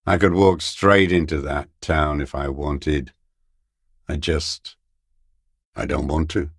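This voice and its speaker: A man playing a bitter old communist deserter in a video game, muttering in contemptuous, terse fragments with a gravelly, raspy voice. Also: very deep voice, fairly even intonation, slow, no echo, studio quality, full character voice. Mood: weary, terse. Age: older. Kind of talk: video game character voice acting.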